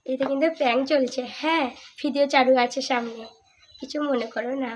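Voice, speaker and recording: A woman's voice: high voice, fairly even intonation, fairly quick. A young woman with a West Bengali accent chatting casually on a prank call in a coy, teasing, persistent way. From India, West Bengal. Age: young adult. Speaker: vlogger making a prank call. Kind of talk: casual phone chat. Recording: noisy amateur video recording.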